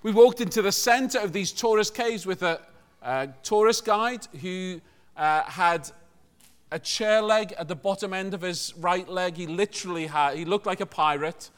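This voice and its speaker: A man's voice: high voice, moderate pace, a bit bright, clear, resonant tone. A man from Liverpool preaches to a congregation in a conversational, joking way, often putting questions to his listeners. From Liverpool, England.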